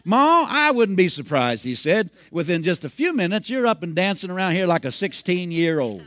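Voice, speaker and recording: A man in his fifties preaching a sermon, telling a healing story and breaking into loud hallelujahs. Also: high voice, very animated, moderate pace. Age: 50s. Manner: storytelling, bursts into shouting and hallelujahs. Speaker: pastor.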